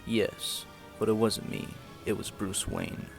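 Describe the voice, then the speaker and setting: A man acting a calm, suave male vigilante in an amateur radio play. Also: on the low side, slow, vocal fry, faint music behind. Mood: cool, reserved.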